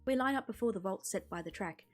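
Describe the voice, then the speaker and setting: A woman streamer reading a visual novel aloud in an expressive storytelling manner. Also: medium pitch, fast talker, slightly rough. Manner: expressive storytelling with character voices. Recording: music behind the voice. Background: music.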